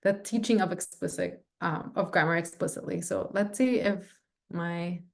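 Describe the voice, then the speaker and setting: A woman's voice: fairly low pitch, steady tone, normal speed, gravelly. A woman leading a training session over a video call, chatty, with lots of fillers and "right?". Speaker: workshop facilitator.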